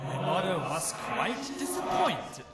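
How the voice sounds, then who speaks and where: A man voicing a stoic, loyal ghost mercenary archer in a fantasy game, speaking in a measured, formal way. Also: on the high side, wildly swooping pitch. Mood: proud, reflective. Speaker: game follower character. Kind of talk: scripted fantasy game dialogue. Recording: echoey voice under loud music.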